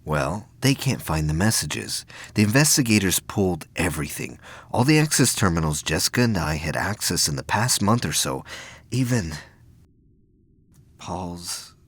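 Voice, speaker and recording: A man's voice: low voice, sing-song, dry recording. Man playing an earnest, troubled young space cadet. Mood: urgent, earnest. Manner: halting, urgent, earnest.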